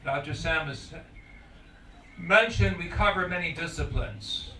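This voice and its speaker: An older man gives a public lecture as a guest scholar, in measured, formal phrasing. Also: on the high side, bright, forceful tone. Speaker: guest conference speaker, scholar. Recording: echoey hall, distant mic, faint noise.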